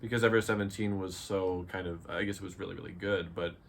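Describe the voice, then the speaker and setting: A man in a casual discussion, speaking in a halting, tentative way. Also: low voice, level tone, creaky. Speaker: visual novel discussion co-host. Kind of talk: casual chat. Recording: background noise on voice track.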